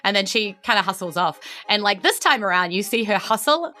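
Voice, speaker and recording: A woman's voice: mid-range voice, lively intonation, fairly quick, pushed and bright. A woman with a New Zealand accent improvising narration as game master of a tabletop game, informal and conversational. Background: faint music.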